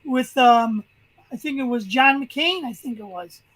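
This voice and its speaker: An older man with a high voice, telling stories in a rambling, casual way as a guest on a video call. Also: a little slow, fairly bright tone, poor audio. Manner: rambling, restarts sentences. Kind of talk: casual chat, storytelling.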